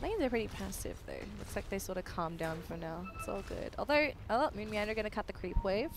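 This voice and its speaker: A woman's voice: mid-range voice, expressive, fairly quick, over music. A woman working as an esports caster, mixing live play-by-play of a game with casual banter.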